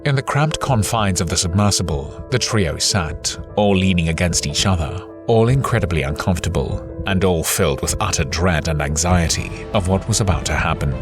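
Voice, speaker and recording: A man giving a dramatic scripted narration of an adventure story in a measured, suspenseful way. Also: deep voice, lively intonation. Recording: loud music under the voice. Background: loud music.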